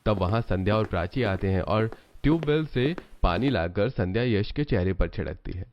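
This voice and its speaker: Male story narrator over loud music. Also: lowish voice, lively intonation, normal speed. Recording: dull sound under loud music.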